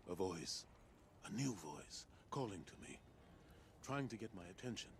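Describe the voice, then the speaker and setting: A man's voice: low-pitched, very animated, normal speed, noisy background. A man playing a grieving, stubborn military commander in a video game, grave and blunt in delivery. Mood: grim. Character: grim, grieving older military commander, male, bitter and stubborn. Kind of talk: dramatic video game dialogue.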